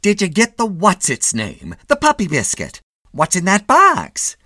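Shrill, nagging wife. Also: male voice, huge pitch swings, measured pace, bright, forceful tone, no room sound, clean studio sound, heavily acted. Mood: impatient. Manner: shrill, exasperated questioning. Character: nagging, impatient middle-aged wife, bossy and scolding.

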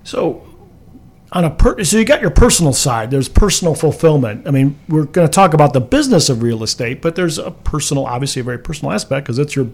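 A man in his fifties from the United States co-hosts a talk show with a slightly raised pitch. He rambles and teases in an easy, chatty way. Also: lively intonation, fast talker. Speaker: co-host. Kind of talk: casual radio talk show.

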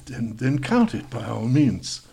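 An actor playing a formal, awkward young male Spanish exchange student in an audio drama, with a Spanish accent and a halting manner. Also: mid-range voice, sing-song, vocal fry, warm tone, breathy edge, exaggerated voice. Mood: stiffly charming.

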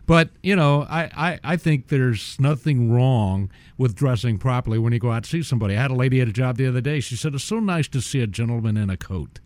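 Middle-aged male guest, genteel and chatty, mid pitch, dry studio sound. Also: fast talker, few pauses, darkish tone, no echo. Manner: genteel, chatty, with small restarts. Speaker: guest audio-video installer. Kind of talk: casual radio chat. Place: radio studio.

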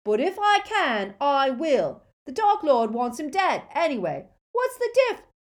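A woman voicing a slow-witted, hulking teenage schoolboy bully in an audiobook, blunt and ungrammatical. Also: a little high, huge pitch swings, voice pushed up. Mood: aggressive, mocking. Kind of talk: audiobook character voice.

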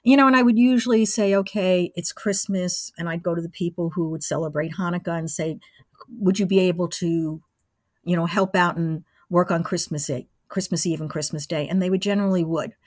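Interview guest: older female, low-pitched, relaxed conversational storytelling, very little room sound. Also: a little fast, no room sound, studio quality. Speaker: interview guest, former college radio engineer. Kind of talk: casual reminiscing.

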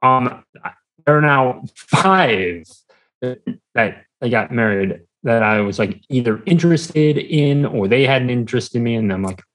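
A man in his twenties chatting casually as a podcast guest, speaking in halting, hesitant, broken phrases. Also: mid-range voice, expressive, fairly bright tone, rough recording. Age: 20s. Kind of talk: casual interview chat.

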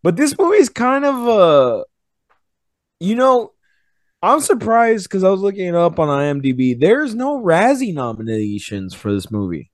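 Hoarse male podcast host, close and dry. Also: sits high, big pitch swings, moderate pace, dry recording. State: hoarse, voice partly gone. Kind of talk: casual movie review chat.

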